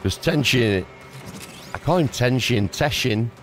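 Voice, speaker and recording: A man's voice: medium pitch, lively intonation, a little slow, a little hiss or hum. A man giving casual, playful gameplay commentary, throwing in exclamations and mild substitutes for swearing. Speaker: gaming YouTuber. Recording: music playing behind the voice. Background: game music.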